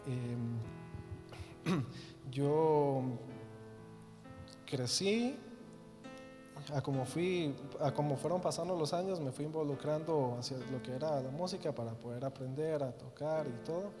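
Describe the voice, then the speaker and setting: A man's voice: fairly high pitch, slow, pauses often. A young man in his twenties with a Costa Rican accent, telling his testimony in church, hesitant with many fillers and restarts. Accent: Costa Rican Spanish. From Costa Rica. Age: 20s. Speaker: guest giving testimony. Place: church hall. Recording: reverberant church hall. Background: soft guitar music.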